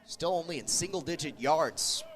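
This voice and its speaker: A man's voice: fairly high pitch, expressive, normal speed, fairly bright tone. A man doing live American football play-by-play as a radio announcer, following the action in clipped, vivid phrases. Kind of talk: live sports play-by-play commentary.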